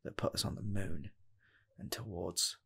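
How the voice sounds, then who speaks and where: A young man playing an eager, nervous rocket scientist in a comedic ASMR job interview, speaking softly and haltingly, repeating himself. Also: low voice, heavily acted. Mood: earnest, eager. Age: 20s. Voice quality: soft, husky, gravelly, slipping into whispers. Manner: halting, stammering, repeats phrases. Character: eager, nervous rocket scientist applying for fast-food work. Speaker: ASMR roleplayer.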